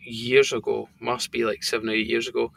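Gravelly Scottish man, rambling chat. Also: mid-range voice, little pitch movement, fairly quick. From Scotland. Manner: rambling, filler-heavy storytelling. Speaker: company founder and guest. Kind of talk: casual podcast chat.

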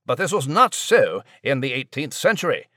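A man's voice: medium pitch, big pitch swings, normal speed, a bit bright, no echo, clean studio sound. A man playing a pompous, florid newsroom reporter delivering a chatty mock news report. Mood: jovial, pompous.

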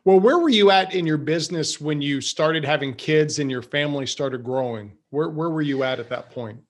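Male host, slightly high voice, steady affirmations, asks follow-ups, says y'all. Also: moderate pace. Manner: affirming, frequent "yeah" and "okay", uses "y'all". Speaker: interview host. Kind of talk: conversational interview.

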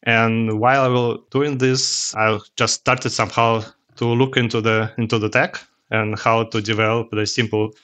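A man from Russia with a Russian accent answers interview questions conversationally, in halting, hesitant non-native phrasing. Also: medium pitch, flat delivery, a bit bright. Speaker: podcast guest, tech executive.

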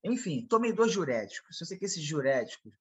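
A Brazilian man talks through his fighting past in a relaxed interview, circling back and repeating words as he acts out what others said. His voice sits a little high and has a husky edge. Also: brisk. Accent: Brazilian Portuguese. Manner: rambling, repeats himself, quotes others in dialogue. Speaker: MMA fighter, guest. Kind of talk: casual interview storytelling.

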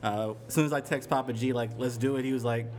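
A man's voice: mid-range voice, steady tone, quick pace, darkish tone. A man from the Bronx hosting a live podcast in a bar, talking in an easygoing, laid-back way and laughing often. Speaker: podcast host. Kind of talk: casual live podcast chat. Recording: live bar recording with crowd. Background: crowd chatter.

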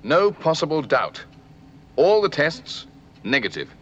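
An actor playing a serious, precise doctor in a vintage puppet sci-fi series, clinical and emphatic. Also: male voice, a little high, wildly swooping pitch, unhurried, dull audio, a little hiss or hum. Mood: clinical, certain. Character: precise, serious male scientist doctor running tests. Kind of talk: 1960s puppet TV sci-fi acting.

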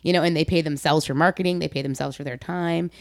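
Low-pitched woman, candid and informal. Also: steady tone, fast, vocal fry, no room sound, clean studio sound. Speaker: podcast host and comedy performer. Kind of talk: casual conversational interview.

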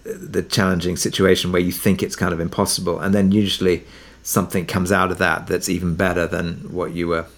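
A man's voice: deep voice, level tone, fairly quick, hardly any pauses, a bit bright. A man talking casually as an interview guest, telling production anecdotes in a conversational way and thinking aloud as he goes.

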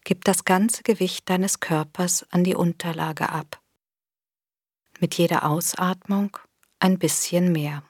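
A woman leads a guided body scan in a soft, breathy voice. Her delivery is very slow, gentle and soothing, with a slightly low pitch. The recording is close and dry. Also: steady tone, no echo. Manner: gentle, soothing, unhurried instruction with long pauses. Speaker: meditation guide. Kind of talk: guided body scan meditation, scripted narration.

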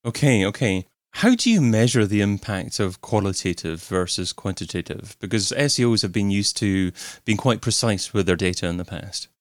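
Male podcast host from the UK, low-pitched, thinks aloud between questions, dry recording. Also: expressive, normal speed, dry recording. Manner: thinking aloud, with ums and restarts. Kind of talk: interview questions.

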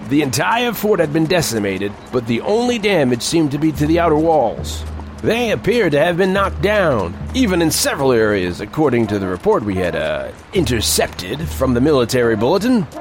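An actor playing an older male reporter who narrates a strange frontier tale in an emphatic, deliberate storytelling manner. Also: medium pitch, close to an everyday voice. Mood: grave. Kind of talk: dramatic scripted first-person narration. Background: music bed.

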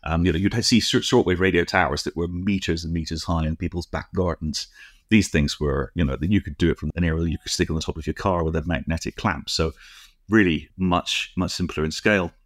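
A middle-aged or older British man co-hosting a casual podcast, explaining things in a halting, discursive way with frequent asides. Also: sits low, dry recording, studio quality. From United Kingdom. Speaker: podcast co-host.

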